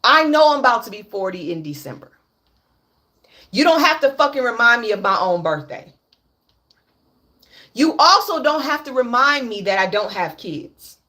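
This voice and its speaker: A woman's voice: fairly high pitch, sing-song, moderate pace, pauses often, pushed and bright. A woman in her late thirties speaking on a candid livestream, blunt and profane, repeating her points for emphasis. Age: 30s. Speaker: livestream host.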